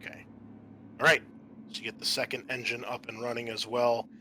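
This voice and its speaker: A man hosting a tabletop roleplaying game as game master, narrating off the cuff and pausing to think aloud. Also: mid-range voice, flat delivery, bright, forceful tone, slight background noise.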